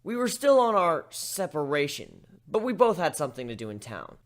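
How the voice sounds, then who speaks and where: A man's voice: a little high, sing-song, moderate pace. A man reading first-person story narration as an amateur dramatic reader.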